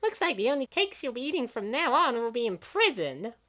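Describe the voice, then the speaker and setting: Woman playing a high-pitched, snarky gamer girl. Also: big pitch swings, a little fast, a bit bright. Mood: accusing. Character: snarky, opinionated young female video gamer. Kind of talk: comic dub dialogue, gaming banter.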